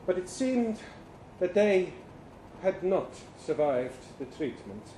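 A man performs an earnest, bewildered time-travelling professor in a story reading. His voice is high and soft-edged, with formal, precise phrasing that halts and hesitates. It sounds like a live reading in a roomy space. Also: big pitch swings, full character voice. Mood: resigned, precise. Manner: formal, hesitant, precise diction with halting pauses. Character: earnest, bewildered male professor claiming to be from the future. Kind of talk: dramatic first-person short story reading. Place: indoor live literary reading event. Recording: roomy live venue, faint background noise.

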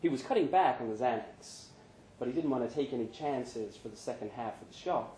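A man's voice: mid-range voice, expressive, a little fast, warm tone, slight background noise. A man giving a scripted narration of a short story at a live reading indoors. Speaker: reader of short fiction. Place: pub event, indoors. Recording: echoey live room.

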